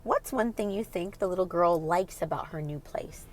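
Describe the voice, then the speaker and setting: A woman's voice: fairly low pitch, moderate pace, a little hiss or hum. A woman hosting a children's read-aloud, reading expressively and putting questions directly to young listeners in an instructive way.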